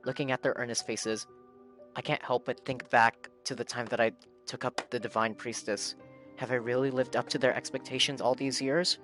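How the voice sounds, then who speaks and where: A man's voice: mid-range voice, little pitch movement, normal speed, a bit bright. A man live-streaming a video game, giving casual reactions and reading game text aloud. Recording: game music behind the voice.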